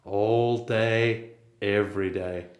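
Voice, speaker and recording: An actor with a Northern Irish accent playing a seasoned, quietly defiant long-term prisoner in a radio play. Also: male voice, lowish voice, fairly even intonation, takes it slowly. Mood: world-weary. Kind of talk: radio play dialogue and narration. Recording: echoey room.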